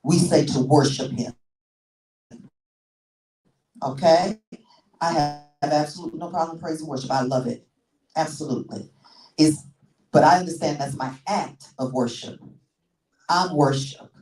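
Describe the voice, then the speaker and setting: A woman's voice: low-pitched, measured pace, pauses often, mellow. A woman in her sixties preaching a sermon as pastor, teaching with frequent restarts and repeated words. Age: 60s. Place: church sanctuary. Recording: echoey church room, mic far off.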